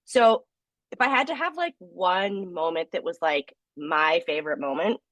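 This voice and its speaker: A woman co-hosting a podcast with a cold that leaves her voice deeper and gravelly, telling lively stories. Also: normal speed, no room sound. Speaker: podcast co-host. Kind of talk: casual podcast chat.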